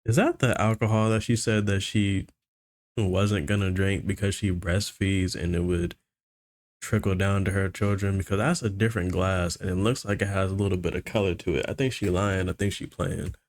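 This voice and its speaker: A man's voice: sits low, steady tone, moderate pace. An American man hosting a casual reaction commentary, talking in slang with a skeptical, critical manner. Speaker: reaction video host.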